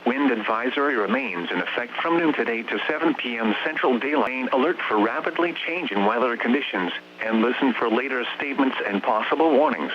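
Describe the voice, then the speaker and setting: A synthetic male text-to-speech voice with an American accent reads scripted weather warnings as a radio announcer in a formal, measured, clipped way. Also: medium pitch, animated. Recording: dull, humming, noisy weather radio broadcast.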